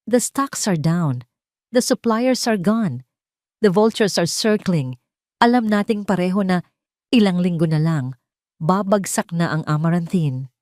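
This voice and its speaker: A woman's voice: fairly low pitch, lively intonation, a little slow, long pauses, darkish tone, no room sound, clean studio sound. A woman voicing a confident, manipulative rich ex-husband with a smug, insinuating manner, in an audio romance drama. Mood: smug taunting.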